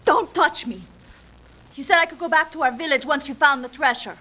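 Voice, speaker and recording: A defiant young wife in a game cutscene. The voice is high and bright, with sharp, indignant, melodramatic delivery. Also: female voice, brisk. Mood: angry, defiant.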